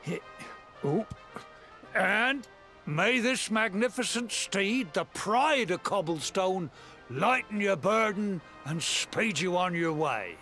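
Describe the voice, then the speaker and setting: A folksy village elder from a fantasy game, jovial and a touch scheming. The voice sits high and moves at a leisurely pace, with theatrical wheedling and faint music underneath. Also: male voice, lively intonation, slow, bright, forceful tone, exaggerated voice. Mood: jovial, grand. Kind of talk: scripted video game voice acting.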